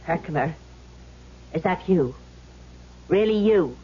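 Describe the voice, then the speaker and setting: An actress playing a skeptical, demanding wealthy widow in a vintage radio drama. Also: female voice, fairly low pitch, lively intonation, takes it slowly, husky, heavily acted. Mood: doubtful. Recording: muffled, noisy old radio recording.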